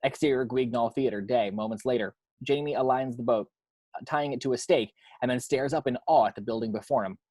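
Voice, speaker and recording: A man narrating the action lines of a screenplay at a table read, in a measured, descriptive way with the odd stumble. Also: medium pitch, darkish tone, husky, no echo.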